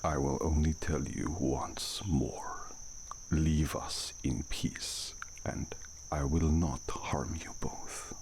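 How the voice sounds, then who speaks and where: A man playing a courteous, brooding vampire count in an ASMR audio drama, speaking formally in a gravelly near-whisper. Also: very deep voice, steady tone, exaggerated voice. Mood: quiet menace. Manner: formal, slow, measured speech. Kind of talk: ASMR roleplay acting. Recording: noisy, night crickets and outdoor ambience.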